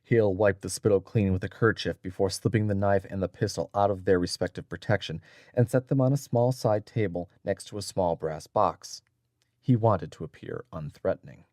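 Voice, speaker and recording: Male narrator, lowish register, dry sound, deliberate dramatic audiobook storytelling. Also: a little mellow, dry recording.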